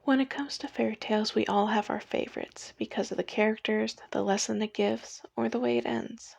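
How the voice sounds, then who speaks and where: Young woman narrator with a husky, creaky voice. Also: a little high, steady tone, brisk, a little mellow, no room sound. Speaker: video essay narrator. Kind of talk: scripted personal video narration.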